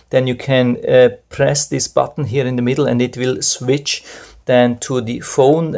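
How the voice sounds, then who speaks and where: A man's voice: mid-range voice, vocal fry, a little mellow. A man giving a casual, unscripted product review, explaining things step by step and often repeating his points. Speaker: product reviewer and video host.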